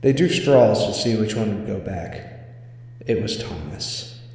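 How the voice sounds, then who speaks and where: A man's voice: lowish voice, expressive, a little slow, a little mellow. A man narrating a scripted spooky story as a storyteller. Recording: echoey reverberant hall sound.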